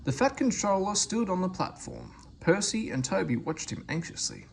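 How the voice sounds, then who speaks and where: A man reading a children's storybook aloud in an expressive narrating style. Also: sits high, normal speed, gravelly. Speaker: storybook reader. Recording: faint background noise.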